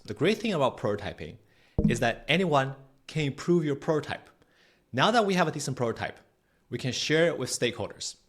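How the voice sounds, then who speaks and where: A man presenting a software tutorial in a casual, conversational, explanatory way, often checking in with the listener. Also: medium pitch. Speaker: tutorial host.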